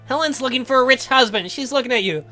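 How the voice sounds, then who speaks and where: A woman's voice: high voice, fast talker, bright, forceful tone, faint music behind. A woman voicing a nervous, eager young man in a visual novel, hesitant, groggy and stammering. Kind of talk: visual novel read aloud in character.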